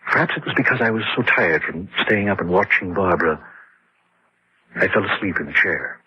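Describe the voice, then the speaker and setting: A man's voice: low voice, animated, brisk, a little hiss or hum. An actor playing an exhausted, grieving man who narrates his haunting in a confessional way in an old radio horror drama. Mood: numb, haunted. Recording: dull lo-fi old radio recording.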